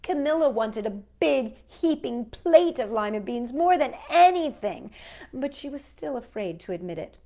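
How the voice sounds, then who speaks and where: A woman's voice: sits high, animated, moderate pace, dark tone. A woman hosting children's storytime, reading picture books aloud with enthusiastic, chatty asides to young viewers.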